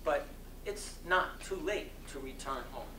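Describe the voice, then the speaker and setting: A man giving a dramatic reading of a sermon, oratorical and impassioned, with deliberate phrasing and strong emphasis. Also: on the high side, lively intonation. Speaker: reader of a sermon. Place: community center hall. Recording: distant mic in echoey room, faint noise.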